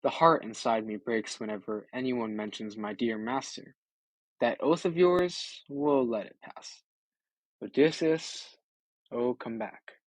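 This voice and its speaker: A man's voice: medium pitch, slow, dry recording, rough recording. A man voicing a loyal old swineherd who grieves for his master, in an audiobook of an epic poem. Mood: weary, mournful.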